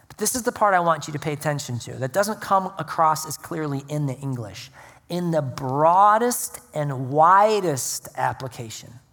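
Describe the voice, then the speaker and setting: An earnest pastor preaching to his congregation, mixing prayer and scripture reading with plain explanation. His voice sits at a middle pitch and rings out with the long reverb of a church sanctuary. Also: a bit bright. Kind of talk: sermon.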